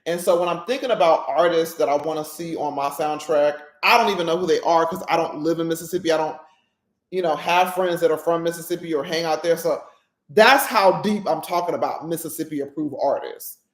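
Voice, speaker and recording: A woman's voice: sits low, fairly quick, roomy sound. A woman hosting a casual solo podcast, talking emphatically, often checking in with her listeners and laughing at her own jokes. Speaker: podcast host.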